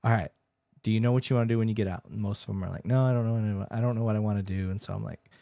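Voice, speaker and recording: A man's voice: on the low side, steady tone, racing, vocal fry, warm tone, no echo, clean studio sound. A man talking casually as an interviewer, swapping stories in an informal, conversational way. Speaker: interviewer, Air Force veteran. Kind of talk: casual chat.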